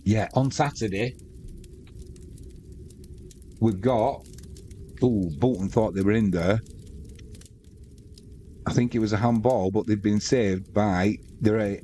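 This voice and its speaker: A man's voice: on the low side, steady tone, unhurried, pauses often, mellow, slight background noise. A man with a Manx accent hosting a live sports stream, chatting casually and reading out viewer comments between bits of match commentary.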